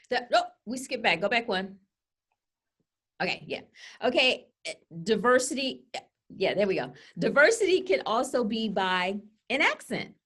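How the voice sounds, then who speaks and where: A woman co-hosting a casual webinar presentation from home, talking freely with many "you know" and "okay?" asides. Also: medium pitch. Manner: chatty, full of fillers like "you know" and "okay?". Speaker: co-host and presenter. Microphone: laptop webcam on a video call.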